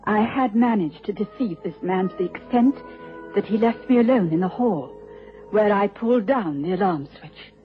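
A woman's voice: medium pitch, lively intonation, normal speed, soft background music, natural voice. An actress playing an anxious, genteel married lady, polite and formal, in a radio drama. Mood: troubled. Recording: dull old radio recording.

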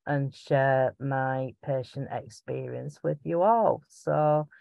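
Slow-talking Bolton woman in her fifties. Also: very deep voice, gravelly, mellow, no room sound. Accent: Bolton, Lancashire. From Bolton, England. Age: 50s. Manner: chatty, self-deprecating, meandering. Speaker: patient sharing her experience. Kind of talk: presentation talk over slides. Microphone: video call. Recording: dull, lo-fi video call audio.

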